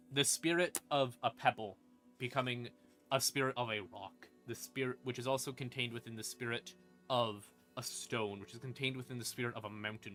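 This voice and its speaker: A man's voice: medium pitch, pushed and bright. A man streamer explaining game lore in a casual, rambling, wry and emphatic way, with some swearing. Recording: music playing behind the voice. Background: creepy ambient music.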